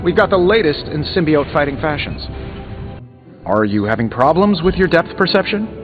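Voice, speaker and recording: An actor voicing a witty, cocky armored superhero inventor in a video game, glib and sarcastic. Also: male voice, a little high. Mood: breezy, joking. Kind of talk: video game dialogue acting. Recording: slightly dull game audio under music.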